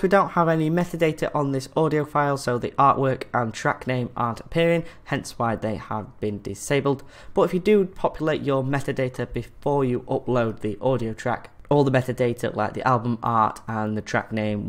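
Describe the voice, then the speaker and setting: A man's voice: medium pitch, normal speed, hardly any pauses. A man presenting a screencast tutorial in a casual, friendly instructional way.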